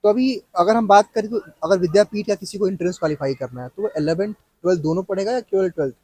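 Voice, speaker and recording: A man interviewing students on a campus, pushing them along with quick questions. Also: high voice, a little mellow, no echo. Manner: prompting, rapid-fire questions.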